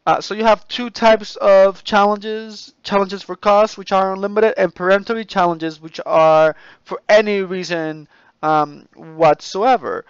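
A man giving an informal voice-over lecture, explaining in a halting way with repeats and rephrasing. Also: sits high, fairly even intonation, clean tone, no room sound, highs cut off.

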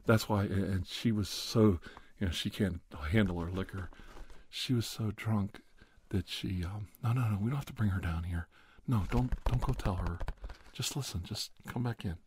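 A man in his forties performing a comedic roleplay monologue to camera in a halting, defensive, conspiratorial manner. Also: lowish voice, a little mellow, slight background noise. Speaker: video host.

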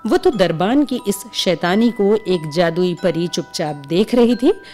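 A woman's voice: on the low side, expressive, measured pace, warm tone. A woman narrating a scripted bedtime story for children as the storyteller. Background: music with chimes.

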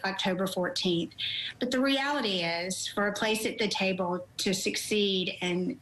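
A woman's voice: mid-range voice, a bit bright, a little hiss or hum. A middle-aged woman, a magazine editor, speaking enthusiastically in a remote news interview from home, with frequent ums. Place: home room on video call. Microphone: laptop video call. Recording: roomy video call audio.

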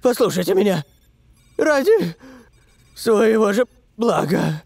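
A man's voice: high voice, very animated, slow pace, gravelly, a little mellow, no room sound, full character voice. A man voicing a flustered, pleading elderly professor in a cartoon, an eccentric and overdramatic inventor. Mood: alarmed, pleading. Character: frantic elderly male professor, eccentric inventor, held captive. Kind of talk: cartoon voice acting.

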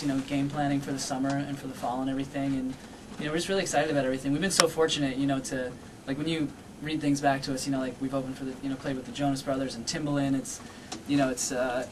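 Man in his 30s, band guest, eager rambling talk, quick pace, off-mic, noisy studio. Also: mid-range voice, level tone, recorded from a distance. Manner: eager, rambling, lots of fillers. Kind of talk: casual interview talk. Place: radio studio.

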